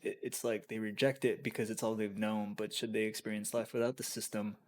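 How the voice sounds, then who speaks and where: A man's voice: lowish voice, flat delivery, fairly quick. Hesitant, musing man on a podcast. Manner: hesitant, musing, many fillers. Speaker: podcast co-host. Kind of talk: casual podcast chat.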